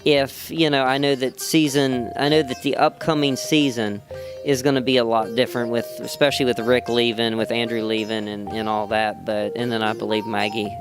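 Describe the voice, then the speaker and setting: A man's voice: mid-range voice, little pitch movement, moderate pace. A man from West Virginia, an actor, answering interview questions at a fan convention in a rambling way full of "you know" fillers and restarts. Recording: music loud behind the voice. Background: music playing.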